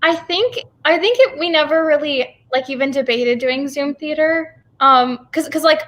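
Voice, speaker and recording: A woman's voice: sits high, moderate pace, fairly bright tone. A young adult woman chatting casually and informally as an interviewee about her work. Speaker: interviewee, theater company co-founder.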